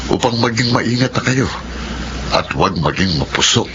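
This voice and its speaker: An actor playing a concerned, measured older uncle in a Filipino radio drama. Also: male voice, on the low side, sing-song, highs cut off. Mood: admonishing. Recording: noisy radio drama recording.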